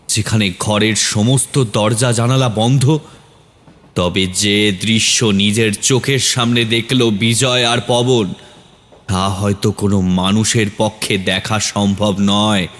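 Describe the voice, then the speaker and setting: A man narrating a scripted horror story in a dramatic, suspenseful manner. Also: fairly low pitch.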